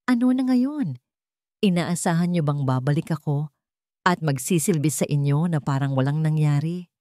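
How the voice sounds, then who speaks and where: A steadfast young woman who was wronged, played by a female storyteller, speaks with a cold and measured firmness. Her voice sits slightly low, in the dry sound of a narrated drama story. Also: animated, mellow, dry recording. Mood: bitter challenge. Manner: firm, measured, resolute.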